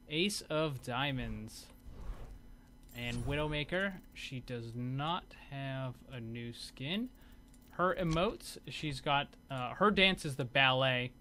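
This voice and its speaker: Bright-voiced man doing casual gaming commentary. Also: mid-range voice, slight background noise. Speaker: gaming video host. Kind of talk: casual commentary over game footage.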